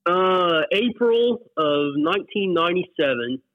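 A man's voice: sits high, lively intonation, unhurried, dry recording. A man in his forties with an American accent, chatting casually and reminiscing as an interview guest. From West Virginia, US. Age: 40s. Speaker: interview guest, whitewater paddler. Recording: muffled phone-like line, dry.

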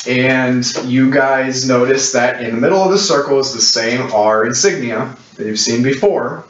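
A man acting as game master, describing scenes in a halting, explanatory way for a tabletop role-playing game. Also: medium pitch. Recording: roomy, muffled distant mic with clicks, hiss.

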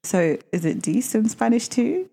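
A woman co-hosting a podcast in casual chat, bubbly and quick to laugh, whose first language is Yoruba. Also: fairly high pitch, very animated, gravelly, warm tone. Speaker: podcast co-host.

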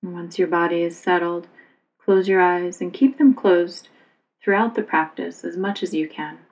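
A woman yoga instructor leading a guided relaxation meditation in a calm, soothing, unhurried manner. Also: fairly low pitch, muffled recording.

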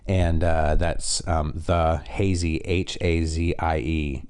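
A man from Canada co-hosts a casual podcast, his voice very deep and smooth with a faintly nasal edge. He talks in an easygoing, rambling way, full of ums and restarts. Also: slow. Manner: relaxed, rambling with fillers. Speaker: podcast co-host. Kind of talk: casual chat.

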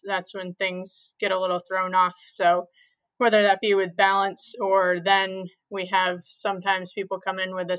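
A woman's voice: mid-range voice, a bit bright, low-quality recording. A young woman from Maine, a physical therapist, answering interview questions plainly with frequent "um"s. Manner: plain, many "um"s, simplifies explanations.

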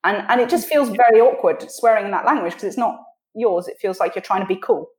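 A woman from Switzerland with a posh British accent, chatting casually as a podcast guest and often pausing to think mid-sentence. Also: medium pitch, a little mellow. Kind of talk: casual interview chat. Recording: roomy echo on the voice.

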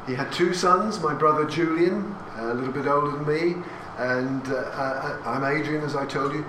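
A man's voice: fairly high pitch, normal speed. An English man in his sixties tells an audience a family story in a chatty, anecdotal way, with asides and questions to the listeners. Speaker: speaker giving a talk. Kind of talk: talk to a live audience about family history. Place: hall with an audience. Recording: echoey room recorded from a distance, with audience noise.

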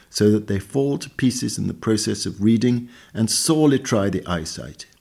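A man reads a scripted literary essay in a measured, bookish way. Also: on the low side, darkish tone, slightly rough. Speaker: reader of a magazine essay. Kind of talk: scripted narration, read essay.

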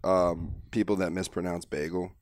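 A man's voice: deep voice, flat delivery, moderate pace, a little mellow. A man talking casually as a guest in a group podcast, with faint noise behind him.

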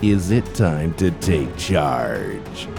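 A man reading a scripted show intro as a deliberate, slow voice-over. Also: extremely low pitch, very animated, gravelly. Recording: voice-over over loud music.

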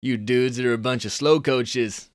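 A man's voice: mid-range voice, fairly even intonation, measured pace, smooth, no room sound, clean studio sound, close to an everyday voice. A man voicing a brash young American snowboarding champion with a put-on Californian accent and a boastful surfer-dude drawl. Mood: cocky.

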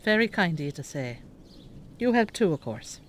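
A woman's voice: lowish voice, big pitch swings, normal speed, slight background noise. A woman playing a kindly, elderly Irish farm mother in a radio drama. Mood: gentle, obliging. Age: older.

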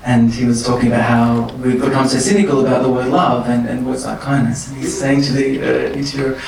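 Gravelly-voiced interviewer, distant and echoey. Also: male voice, medium pitch, little pitch movement, fairly quick. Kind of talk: conversational interview. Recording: roomy and distant with faint noise.

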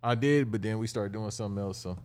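A man's voice: on the low side, quick pace, darkish tone. A man co-hosting a podcast, laid-back, tossing in short offhand remarks. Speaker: podcast co-host. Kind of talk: casual podcast banter.

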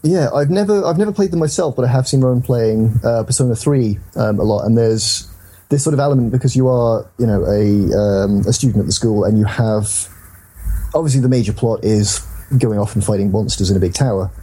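A man's voice: medium pitch, fairly quick, mellow, husky, rough recording. A man hosting a casual, rambling and enthusiastic discussion about games. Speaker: podcast host.

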